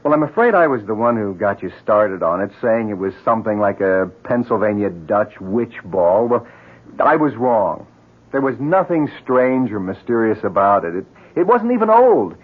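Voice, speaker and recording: A steady, reassuring friend in a 1970s radio play. His husky voice is conversational and varied in pitch. It comes through muffled, like a vintage broadcast. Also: moderate pace. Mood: earnest, explaining. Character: calm, reassuring, friendly male family friend. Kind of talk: 1970s radio drama acting.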